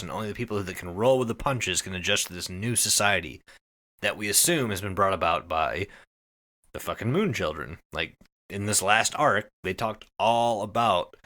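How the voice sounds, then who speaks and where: A man hosting a podcast, giving a casual, profanity-laced story recap to his co-hosts in an animated way. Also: on the low side. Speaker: podcast host.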